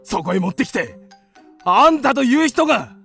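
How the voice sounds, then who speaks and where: A man's voice: extremely high pitch, big pitch swings, normal speed, vocal fry, fairly bright tone, breathy edge, soft background music, heavily acted. A man playing a blustering, hot-headed creditor given to impulsive declarations in a theatrical radio drama. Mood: exasperated.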